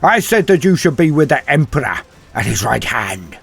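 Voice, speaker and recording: A man performs a fervent male war priest in an audio story, rallying weary troops. The voice is rough-edged and raised well up, declaiming each phrase slowly and grandly over background music. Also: sing-song, heavily acted. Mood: impassioned, rousing. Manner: booming, declamatory oratory with drawn-out phrasing.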